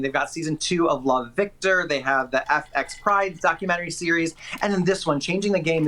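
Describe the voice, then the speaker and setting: Guy doing chatty movie reviews, super emphatic and opinionated, bright punchy voice. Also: fairly high pitch. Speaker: film critic reviewing new movies.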